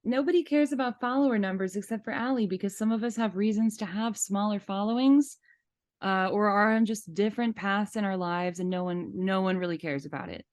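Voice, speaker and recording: A woman's voice: medium pitch, brisk, darkish tone, dry recording. Indignant woman podcaster, close dry sound. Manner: indignant, emphatic. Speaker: podcast host. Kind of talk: casual opinionated podcast chat.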